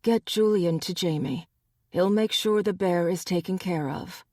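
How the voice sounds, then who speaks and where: A protective male pride leader, voiced by a woman narrating a storybook. He is curt and scornful with the people he dismisses. The delivery is slow and the sound dry. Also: lowish voice, a little mellow, dry recording.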